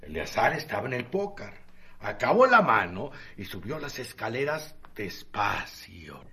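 A man's voice: mid-range voice, sing-song, pushed and bright, exaggerated voice. An actor playing an earthy Mexican barroom man who tells admiring yarns in salty, colloquial radio drama style. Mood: indignant, gossipy. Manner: colloquial, salty, drawn-out storytelling.

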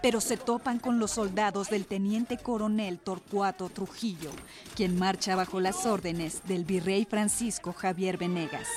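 A woman's voice: mid-range voice, steady tone, a little slow. A woman reading scripted historical narration for a radio drama, with prominent music underneath.

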